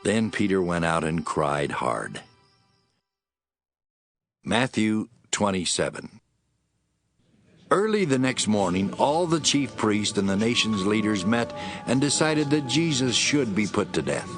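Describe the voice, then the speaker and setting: A man's voice: fairly low pitch, sing-song, takes it slowly, pauses often, soft background music. A man narrating scripture as a scripted dramatic reading.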